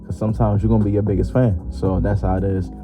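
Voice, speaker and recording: A man's voice: lowish voice, flat delivery, quick pace. A 21-year-old man hosting his own podcast, talking loosely and jokingly with lots of slang and fillers. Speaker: podcast host. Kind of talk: casual solo podcast monologue. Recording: muffled lo-fi with music behind.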